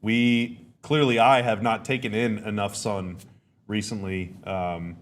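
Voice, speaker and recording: A young adult man, a bit hoarse and raspy, hosting a casual live stream from home and talking freely with his viewers. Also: fairly low pitch, fairly even intonation, unhurried. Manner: chatty, reads chat comments aloud. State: hoarse, dry sinuses. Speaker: podcast host. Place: home room.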